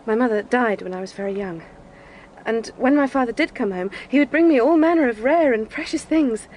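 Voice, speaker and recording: An actress playing a sorrowful, gentle young gentlewoman in a period audio drama, earnest and wistful. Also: fairly high pitch, fairly quick, slight background noise, close to an everyday voice, poor audio. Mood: wistful, confiding.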